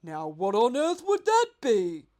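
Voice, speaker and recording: A woman voicing a hungry, exuberant male pelican in a children's storybook, with loud, drawn-out theatrical delivery. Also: fairly high pitch, wildly swooping pitch, voice pushed up. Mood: puzzled.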